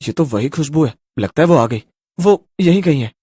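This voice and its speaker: An actor playing a naive, lovestruck young man with a husky voice and an earnest, wondering way of talking in a horror story. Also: on the high side, animated, moderate pace, mellow, no room sound, clean studio sound. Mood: lovestruck pleading.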